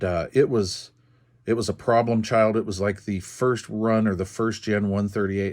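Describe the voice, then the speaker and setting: An older man with an American accent and a fairly low voice. He talks through hands-on repair work casually and without hurry, thinking aloud in a plain, folksy way. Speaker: radio repair video host.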